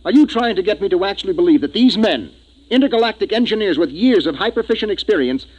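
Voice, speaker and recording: An actor playing a gruff, impatient middle-aged spaceship commander in a 1950s science fiction radio drama, with a commanding delivery. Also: male voice, sits high, expressive, quick pace, a little mellow, poor audio. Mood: exasperated. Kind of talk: 1950s radio acting with first-person narration. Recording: vintage 1950s radio, music behind.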